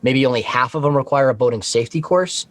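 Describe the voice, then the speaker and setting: A man with a mid-pitched voice explains things in a casual, conversational way as a show guest. He is frank and swears freely. The recording is close and dry, with very little room sound. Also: fast, no echo. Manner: frank, profane. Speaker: guest on a talk show, Coast Guard member.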